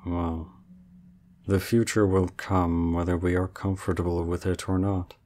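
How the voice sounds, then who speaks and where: A man voicing an ancient, aloof male dragon in human form, speaking in a formal, measured and reserved way for an ASMR roleplay. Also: low voice, flat delivery, a little mellow, slight background noise. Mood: calm, aloof.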